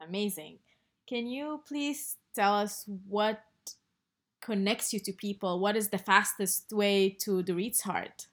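A woman hosting a podcast, reading a measured introduction and asking gentle questions. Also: medium pitch. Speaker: podcast host. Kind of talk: podcast interview.

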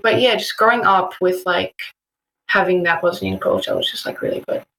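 A woman's voice: mid-range voice, moderate pace, vocal fry, fairly bright tone, poor audio. A teenage girl with an Australian accent, chatting as a podcast guest with lots of "like" fillers. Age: teens. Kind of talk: casual interview answers.

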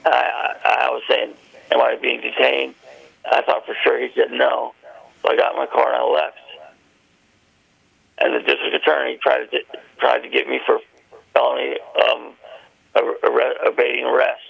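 A man's voice: mid-range voice, little pitch movement, normal speed, long pauses. A man, a guest telling a long, rambling personal story. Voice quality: gravelly, husky. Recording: muffled phone line.

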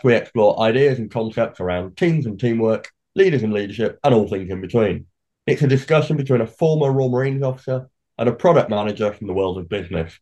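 A man's voice: medium pitch, darkish tone. A man hosting a podcast who sounds congested and snuffly, moving from a polished, scripted intro into easygoing conversation. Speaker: podcast host.

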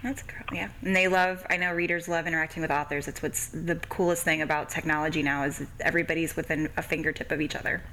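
A woman's voice: low-pitched, steady tone, fast talker, few pauses, pushed and bright, slight background noise, poor audio. An American woman hosting a podcast interview in a casual, informal and agreeable way.

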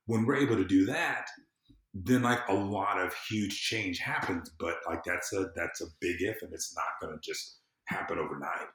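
An American man speaking as a podcast guest in casual conversation, earnest and emphatic, often halting and repeating words. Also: low-pitched, fairly bright tone. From southern United States. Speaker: podcast guest, civic empowerment consultant. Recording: roomy, echoey sound.

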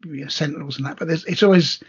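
A man co-hosting a podcast, talking in a relaxed, hesitant way with many ums and a very raspy voice. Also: a little high, fast talker. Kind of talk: casual hobby chat. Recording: dull lo-fi audio.

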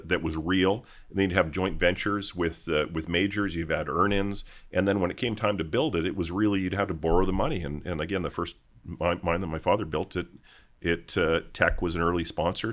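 A man in middle age with a very low voice speaks as an interview guest. He talks deliberately, stopping and restarting his sentences as he works through his points. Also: a bit bright. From Canada, raised in Vancouver. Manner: halting and deliberate, many restarts. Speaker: mining company executive, guest. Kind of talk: interview answers.

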